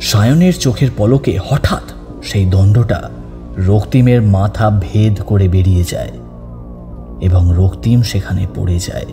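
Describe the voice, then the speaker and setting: A man's voice: fairly low pitch, measured pace. A man narrating a scripted horror story as an audiobook narrator. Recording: long reverb over background music.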